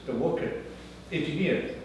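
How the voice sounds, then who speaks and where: A man's voice: on the high side, moderate pace. A man whose first language is not English, giving a business talk and acting out the people in his stories. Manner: animated storyteller acting out dialogue. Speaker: guest speaker. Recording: echoey room, mic far off, faint noise.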